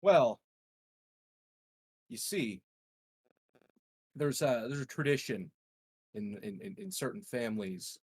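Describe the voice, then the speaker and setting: A man's voice: medium pitch, sing-song, takes it slowly, long pauses, a little mellow, breathy edge, no echo. A man improvising in character as a player in a tabletop game, telling things in a rambling, evasive, hesitant way.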